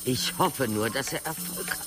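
An actor playing a grave, authoritative ruler in a fantasy radio drama, speaking in a measured, portentous and commanding manner. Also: male voice, mid-range voice, natural voice. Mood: solemn. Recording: loud music under the voice.

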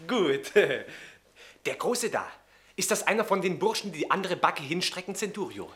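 High-voiced, arrogant young coward. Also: male voice, very animated, a little slow, a bit bright, full character voice. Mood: sneering, amused. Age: young adult. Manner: sneering, turning whiny and pleading when threatened. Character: arrogant young Roman gentleman, a mocking bully and coward. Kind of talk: radio play acting.

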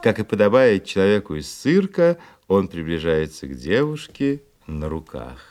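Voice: low voice, sing-song, normal speed, background noise. A narrator reading the scripted stage narration of a radio play. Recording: loud music behind the voice. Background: loud music.

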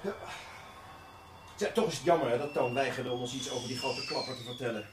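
A man's voice: mid-range voice, a little fast, close to an everyday voice. A man playing an earnest younger police detective, the chatty, plain-spoken sidekick in a radio drama. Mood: matter-of-fact. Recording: echoey room, distant mic, clear noise.

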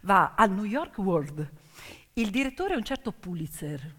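A woman's voice: mid-range voice, sing-song, unhurried, husky. A woman stage storyteller narrating dramatically, with emphatic, short, suspenseful phrases. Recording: reverberant hall with piano music. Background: piano music.

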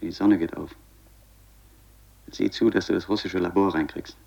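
An actor playing an uneasy, stubborn astronaut who reports tersely and haltingly over an intercom in a German science-fiction radio play. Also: male voice, deep voice, fairly even intonation, gravelly, crowd noise. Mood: uneasy, hushed. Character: uneasy, stubborn astronaut exploring a wrecked asteroid station. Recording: dull, lo-fi radio sound.

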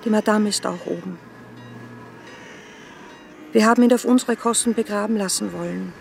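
An actress playing a chatty, helpful common woman in a radio drama, brisk and talkative. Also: mid-range voice, vocal fry, darkish tone, soft background music, natural voice.

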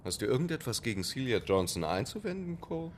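An actor playing an imperious, sarcastic playwright with biting mock politeness in a German radio play. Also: male voice, mid-range voice, very animated, fast talker, slight background noise, lightly acted. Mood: brisk, biting. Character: imperious, sarcastic male playwright with theatrical temper.

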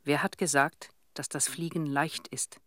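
A man's voice: on the high side, steady tone, a little slow, bright, forceful tone, slight background noise. A male actor in a radio drama playing a sick, pensive boy from Chernobyl in a simple, reflective manner. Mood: dreamy, resigned.

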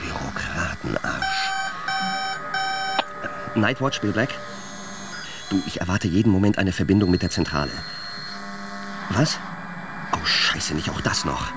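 A brusque security man in a radio drama, with a lowish voice. He talks in terse, official bursts under stress, over clear background noise. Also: huge pitch swings, measured pace, pauses often, exaggerated voice. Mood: irritated, then curt, urgent. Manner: terse, clipped phone talk. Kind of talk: German radio play acting.

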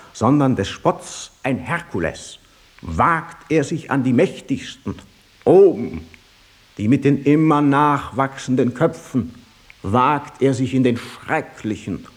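An actor playing a grand chorus speaker, declaiming scornful, solemn verse in a measured, emphatic way for a radio drama. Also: male voice, medium pitch. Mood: proclaiming. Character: grand chorus speaker proclaiming a scornful ode praising a poet.

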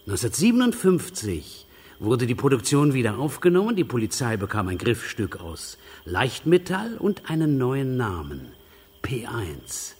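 An actor playing a sardonic, lecturing forensic investigator with a very husky, raspy voice in a radio crime drama. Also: male voice, medium pitch, wildly swooping pitch, normal speed, a little mellow. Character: sardonic, know-it-all male forensic investigator.

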